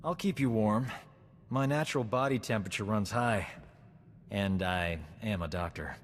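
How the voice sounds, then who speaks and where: A man's voice: fairly low pitch, moderate pace, in a large hall, natural voice. An actor playing a wry, flirtatious male doctor and companion in a video game, with a suave manner. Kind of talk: scripted game dialogue.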